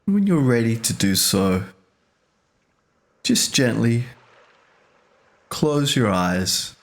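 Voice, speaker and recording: A man leading a guided meditation as a teacher, speaking gently and unhurriedly in short phrases with pauses. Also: mid-range voice, big pitch swings.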